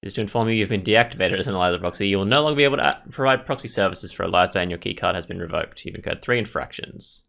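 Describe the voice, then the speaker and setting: Fast-talking, low-voiced man. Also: expressive, creaky, a bit bright. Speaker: gaming video host. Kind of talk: gameplay commentary, reading game text aloud.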